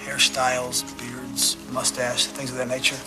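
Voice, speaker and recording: Actor as a calm, methodical police detective. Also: male voice, mid-range voice, a little slow, music in the background, natural voice. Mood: businesslike. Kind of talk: scripted crime audio drama.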